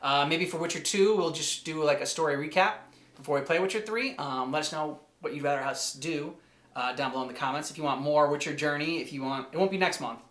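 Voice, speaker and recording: A man hosting a reaction channel, chatting informally in a halting, casual way. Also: a little high, fairly bright tone. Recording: echoey room.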